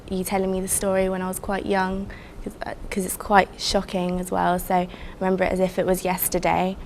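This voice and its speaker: A young woman in her twenties, a design student, giving soft, brief interview answers with frequent fillers. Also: medium pitch, a little hiss or hum. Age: 20s.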